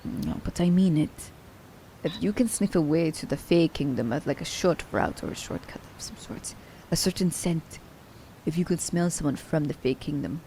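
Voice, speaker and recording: A woman playing a cunning, haughty immortal assassin in an ASMR audio drama, speaking in theatrical, formal diction, breathy and often dropping toward a whisper. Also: low voice, expressive, moderate pace, mellow, a little hiss or hum, low-quality recording. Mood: sly, musing. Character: immortal female assassin, cunning, teasing, haughty, romancing her captive.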